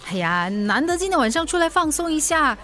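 A woman's voice: high-pitched, sing-song, fairly quick, a bit bright, slight background noise. An actress in a radio drama playing a stubborn, troubled woman, with a Singaporean accent. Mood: wistful, tipsy. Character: stubborn tipsy woman hiding her serious illness.

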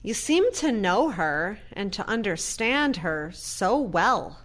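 Woman acting a slow-spoken, caring daughter. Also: medium pitch, sing-song. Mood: hesitant. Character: caring, hesitant adult daughter visiting her mother. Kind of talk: audio play acting.

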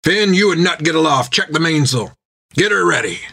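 Gruff seafaring captain for an audiobook, acted by a man: bossy, blunt, salty-tongued, raised pitch. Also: animated, normal speed, pushed and bright, heavily acted. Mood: commanding. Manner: blunt, barking orders. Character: gruff, commanding, foul-mouthed male pirate captain.

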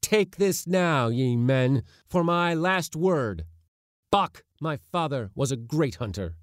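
A man's voice: fairly high pitch, expressive, slow pace, dry recording, clean studio sound, pitched above the performer's own voice. A man voicing a proud, defiant boy who declaims formally before a council. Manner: declaiming, formal old-fashioned speech.

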